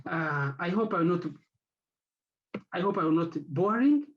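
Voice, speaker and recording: A man whose first language is French, speaking on an academic panel as the head of an institute. He talks haltingly and searches for words, with many fillers. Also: high voice, expressive, muffled recording, slight background noise.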